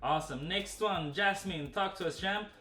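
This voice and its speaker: A man's voice: sits high, normal speed, pushed and bright. A man hosting a group webinar as a fitness coach, talking casually in an encouraging, effusive way. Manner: effusive, encouraging, informal hype. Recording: roomy, distant webinar audio with faint music.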